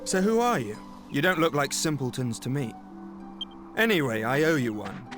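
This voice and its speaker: Actor as a suave rogue over loud music. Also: male voice, medium pitch, big pitch swings, moderate pace, natural voice. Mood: smug, teasing. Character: suave, roguish young man, cocky charming trickster. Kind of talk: video game voice acting. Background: loud game music.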